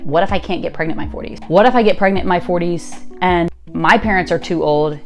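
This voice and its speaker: Chatty low-voiced woman vlogger. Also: quick pace, faint music behind. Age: late 20s. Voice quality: deep voice. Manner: candid, chatty, with asides and rhetorical questions. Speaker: content creator and musical theatre actress. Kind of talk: personal vlog storytelling to camera.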